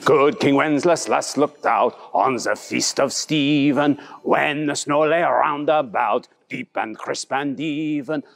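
A man's voice: medium pitch, slow pace, gravelly, hardly any pauses. A man in his thirties singing Christmas carols theatrically as a pantomime performer. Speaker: pantomime performer leading a sing-along. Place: indoor studio set with Christmas trees. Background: instrumental Christmas music.

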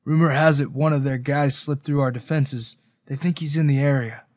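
A man's voice: medium pitch, steady tone, normal speed, darkish tone, no room sound, studio quality, natural voice. A man voicing a nervous male army nurse in a story, passing on rumours uneasily.

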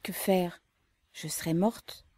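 A woman's voice: fairly low pitch, slow pace, dry recording. A woman reading as a stern, austere young woman. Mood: calm. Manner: calm, austere.

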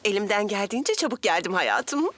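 A woman's voice: mid-range voice, very animated, a little fast, a bit bright, slight background noise. A woman voicing an affectionate, exclamatory grandmother full of little ohs in a children's cartoon dub. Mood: affectionate, hurried. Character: affectionate, chuckling grandmother of a young boy.

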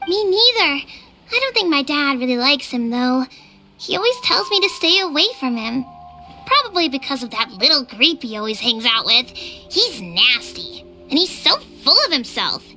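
A woman's voice: sits high, big pitch swings, moderate pace, sharp tone, soft background music, heavily acted. A woman voicing a spirited young girl, earnest and a little cheeky, in video game dialogue. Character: spirited young girl, a tribe elder's daughter.

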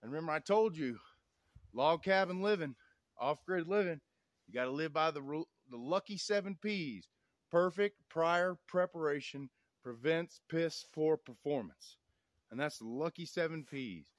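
Man, fairly high voice, dry close sound, folksy, outdoors in snow. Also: moderate pace, no room sound. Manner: folksy, preachy, keeps saying y'all. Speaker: off-grid vlogger. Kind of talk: outdoor vlog talk to camera. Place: snowy woods outdoors. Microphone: camera on tripod, a few meters away.

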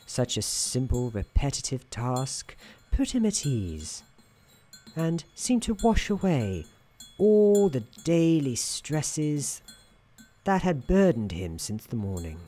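Man playing a mellow, droll storybook narrator. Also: medium pitch, huge pitch swings, full character voice. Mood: soothing, droll. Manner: measured, whimsical, gently patronising. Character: droll, soothing male storybook narrator in an office worker's head. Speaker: narrator of an ASMR roleplay. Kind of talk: soft-spoken ASMR scripted narration.

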